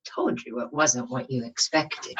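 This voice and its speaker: A woman reading a picture book aloud to young children like a teacher, expressive, often asking the listeners questions. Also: extremely low pitch, measured pace, slightly rough, muffled recording. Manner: asks the listeners questions, dramatic emphasis.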